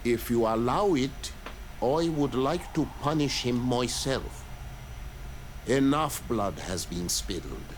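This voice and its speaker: A grave, dignified clan chief from a video game, voiced by a male actor, stern but fair. He speaks slowly and deliberately, with formal weight on each phrase. The pitch is medium for a man, with slight noise behind. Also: lightly acted.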